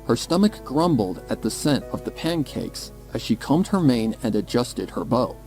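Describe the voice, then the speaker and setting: A man's voice: mid-range voice, very animated, normal speed, a little mellow. A man reading scripted horror fan fiction as the narrator. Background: music.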